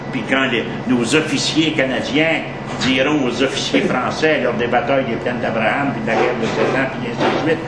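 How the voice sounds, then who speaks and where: A man with a Québécois French accent giving a history lecture, digressive and prone to restarts, stressing key words. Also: mid-range voice. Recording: echoey room, mic far off, background noise.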